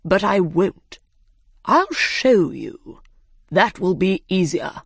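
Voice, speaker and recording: Storybook dodo, male played by a woman: pompous and bossy, very slow, deliberate delivery, close dry sound. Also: fairly low pitch, expressive, creaky, husky, no room sound, heavily acted. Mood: smug, teasing. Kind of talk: children's storybook character reading.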